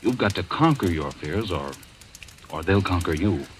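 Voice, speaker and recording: A very low-voiced, authoritative male doctor in an old radio mystery. He is firm and paternal, with expressive swings in pitch. Faint noise sits on the recording. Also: moderate pace. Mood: reassuring, firm. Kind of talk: old-time radio drama acting. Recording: noisy vintage radio recording.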